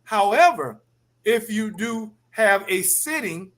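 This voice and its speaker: A man moderating a panel discussion, addressing the guests directly in an animated way. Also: high voice, takes it slowly.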